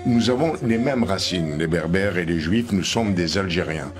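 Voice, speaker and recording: An older man with a low voice talks in a hesitant, explanatory way, full of small fillers and restarts. Music plays clearly behind him throughout. He speaks as a guest on a televised panel. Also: animated, normal speed. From Algeria.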